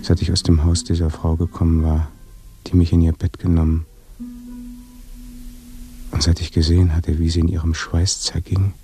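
An actor in a radio drama playing a brooding, fearful dead man confessing from his grave, in with a hushed delivery. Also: sits low, completely flat delivery, normal speed, pauses often, faint music behind. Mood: haunted, dread. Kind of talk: German radio drama monologue, slow confessional acting.

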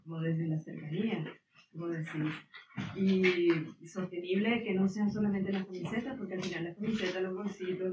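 A woman's voice: medium pitch, background noise. A woman whose first language is Guaraní asks a long, meandering question at a public debate, searching for her words as she goes. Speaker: audience member asking questions. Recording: distant, echoey, phone-like audio.

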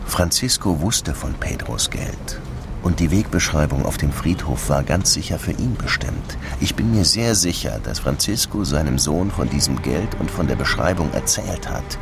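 Casting: world-weary cop and narrator for radio noir, extremely low voice over music. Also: male voice, expressive, normal speed. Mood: earnest, confiding. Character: brooding, world-weary male police detective in Havana. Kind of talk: radio drama dialogue and first-person narration.

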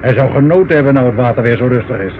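Actor as a deferential police underling, noisy recording. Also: male voice, mid-range voice, racing, phone-quality sound, close to an everyday voice. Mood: weary, obliging. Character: tired, deferential, good-natured male police subordinate. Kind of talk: radio drama acting. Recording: thin, clearly noisy old radio recording.

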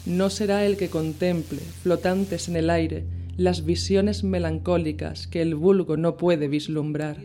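A woman giving a slow, measured dramatic reading of a horror tale, with long pauses between phrases. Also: on the low side, steady tone, mellow, a little hiss or hum. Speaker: reader.

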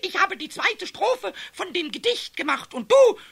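A male actor voicing a cheeky, sulky little kobold in a children's radio play, squeaky, shrill and childlike. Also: big pitch swings, measured pace, gravelly, fairly bright tone, full character voice. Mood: defiant, indignant. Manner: defiant, repetitive, childlike.

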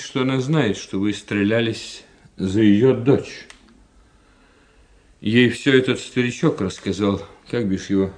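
An actor playing a discreet, worldly doctor in a radio play, speaking in a matter-of-fact, confidential way. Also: male voice, fairly low pitch, darkish tone, close to an everyday voice. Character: discreet, worldly army doctor warning his friend.

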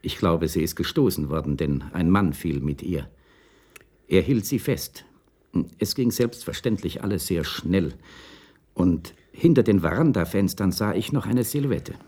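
An actor playing an apologetic, uneasy neighbour in a radio crime drama, speaking hesitantly and deferentially. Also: male voice, low-pitched, animated, a little slow, dark tone, husky, heavily acted. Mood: uneasy, earnest. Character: apologetic, uneasy neighbour giving witness account. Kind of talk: 1980s German radio drama acting.

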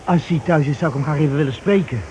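A man's voice: a little high, fast talker, a little mellow, lightly acted. An actor in a radio play playing an anxious, hesitant visitor looking for his brother, politely stammering and repeating himself. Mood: insistent. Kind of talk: naturalistic radio play acting. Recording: noisy old radio play recording.

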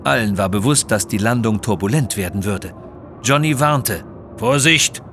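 A man's voice: on the low side, animated, moderate pace, slight background noise. A man reading scripted narration for an adventure audio story.

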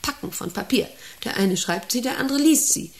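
An actress in a radio play as a domineering, eccentric factory director who rambles, commands and asks intrusive questions. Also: female voice, a little high, lively intonation, moderate pace. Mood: obsessive. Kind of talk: German radio play acting.

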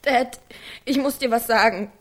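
A high-pitched teenage girl, troubled and needy. She sounds naive yet intense, in the manner of a radio play. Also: measured pace. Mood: hesitant. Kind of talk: German radio drama acting.

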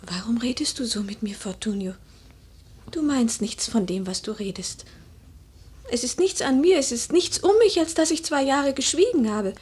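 A woman's voice: a little high, expressive, normal speed, darkish tone, slightly rough. An actress playing a proud, melancholy, wounded young woman in mourning in a literary radio play, with grave theatrical phrasing. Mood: hurt.

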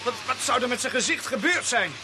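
An actor playing a shocked, hesitant rookie policeman in a radio drama, halting and unsettled. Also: extremely high pitch, lively intonation. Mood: shaken, halting. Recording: clear background noise.

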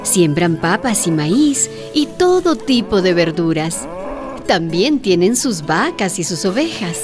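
A woman's voice: on the low side, very animated, slow, over music. A woman reading scripted storytelling narration for a radio drama.

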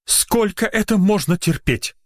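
A man's voice: high-pitched, sing-song, moderate pace, dry recording, studio quality, voice pushed up. A man voicing an indignant, blustering, officious doctor in a narrated story, easily flustered and outraged. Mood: exasperated, angry. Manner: officious, blustering, self-justifying.

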